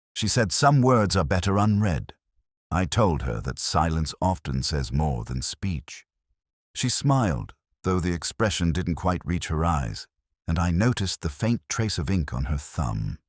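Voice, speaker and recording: A middle-aged man narrating a scripted first-person detective story in a measured, brooding, literary manner. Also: very deep voice, sing-song, no room sound, studio quality.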